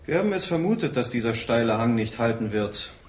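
An actor in a radio drama playing a dead soldier who bitterly recounts his end in terse, halting fragments. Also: mid-range voice, very animated, lightly acted. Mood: grim, matter-of-fact. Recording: roomy echo with faint noise.

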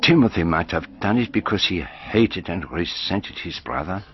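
An actor playing a shrewd, observant detective, narrating his suspicions in a measured, reflective way in a radio drama. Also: low-pitched, expressive. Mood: musing. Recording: slightly dull broadcast radio recording.

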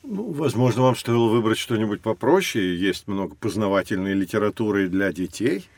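An actor playing a kindly, encouraging professor who explains things in a friendly way in a children's audio drama. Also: male voice, fairly low pitch, lively intonation, normal speed, a little mellow, no echo. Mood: welcoming, reassuring.

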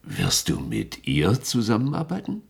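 An actor playing a middle-aged male security chief in a German radio play, authoritative and courteous but guarded, in formal, deliberate officialese. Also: lowish voice, wildly swooping pitch, vocal fry, heavily acted. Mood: guarded, insistent.

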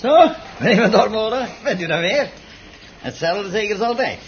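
An actor playing a chatty, nosy butcher in a Dutch radio play, folksy and polite yet prying. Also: male voice, high-pitched, expressive, moderate pace. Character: chatty, nosy male butcher serving a regular. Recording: dull noisy old radio recording.

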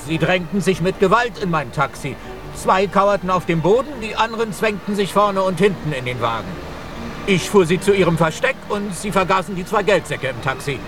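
A taxi driver with pride and nerves. He speaks warily and earnestly in a high register, in a radio drama scored with clear music. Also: animated, a little fast. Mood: fearful, resigned. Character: proud, uneasy male taxi driver. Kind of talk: German radio drama acting.

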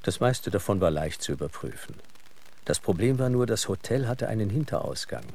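A man narrating a German radio drama as a composed storyteller, reading in a measured, dry, literary manner. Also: on the low side, sing-song, gravelly, darkish tone, husky, full character voice. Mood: wry, measured. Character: composed literary male narrator of a Western mystery.

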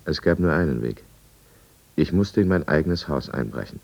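An actor playing a brooding drunkard who narrates his own downfall deliberately and confessionally in a 1950s radio drama. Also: very deep voice, expressive, vocal fry, muffled recording, heavily acted. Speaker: first-person narrator.

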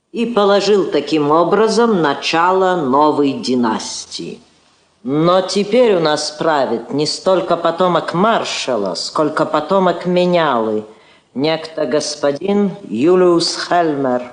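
An actress playing a dignified, dryly ironic woman diplomat in a Soviet radio play, speaking with measured poise. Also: low-pitched, animated. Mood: composed, wry. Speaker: actor. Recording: roomy old studio recording.

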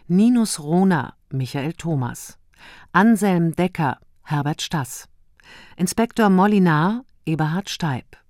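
A woman radio announcer reading scripted credits in a formal, measured manner. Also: low-pitched, darkish tone, no echo, clean studio sound.

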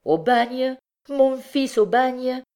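A woman's voice: low voice, a little slow, a little mellow. A woman voicing a desperate working-class mother, horrified and fiercely defiant, in an exclamatory, pleading way. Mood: outraged, horrified.

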